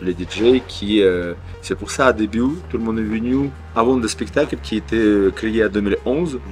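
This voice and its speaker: A man's voice: on the low side, brisk, soft background music. A man speaking as an artistic director in an interview, hesitant, with many fillers and restarts. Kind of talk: interview answers.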